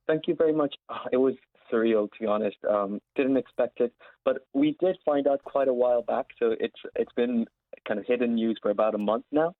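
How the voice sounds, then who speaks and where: A man's voice: mid-range voice, a little fast, no room sound. A man in his twenties from Saudi Arabia, answering interview questions in an informal, explanatory way over a live call line. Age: 20s. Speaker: interview guest, founder of an education platform. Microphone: remote call line. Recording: narrow phone-like live line.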